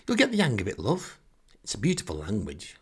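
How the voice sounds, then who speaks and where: A man in a comic monologue voicing a doting, slightly smug wife who gently coaxes. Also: lowish voice, huge pitch swings, fairly quick, breathy edge, heavily acted. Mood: fond, coaxing. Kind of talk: acted quote in comic monologue.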